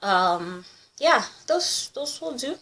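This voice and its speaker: A woman's voice: sits high, big pitch swings, takes it slowly, bright, forceful tone. A young woman from Kenya whose first language is Kikuyu, chatting on camera in a casual, self-conscious and upbeat way as she teaches phrases. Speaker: YouTuber.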